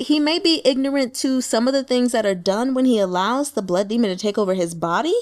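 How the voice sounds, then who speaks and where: A woman giving casual, animated book commentary as a channel host, wry and colloquial, often talking as if to the characters. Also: medium pitch.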